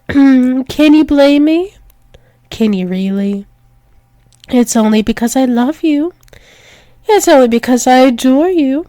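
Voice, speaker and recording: A woman's voice: on the high side, dark tone, dry recording, clean studio sound. A woman playing a dominant, doting female werewolf demon lover in an intimate ASMR roleplay, teasing and coaxing. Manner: teasing, coaxing, slow repeated praise, often dropping to whispers.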